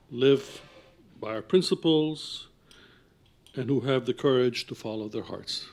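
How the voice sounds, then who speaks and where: A man giving a formal, measured introduction as the director of an academic programme, partly reading it aloud and often clearing his throat. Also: mid-range voice.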